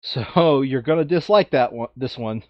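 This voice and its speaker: A man's voice: medium pitch, normal speed, no echo. A man chatting casually and jokily as he plays a tabletop game. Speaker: board game player. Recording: dull lo-fi sound.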